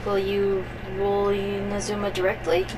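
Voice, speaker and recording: A woman's voice: medium pitch, monotone, moderate pace. A woman giving casual commentary as she plays through a video game. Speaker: gamer playing through a story quest. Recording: clear noise, game audio behind.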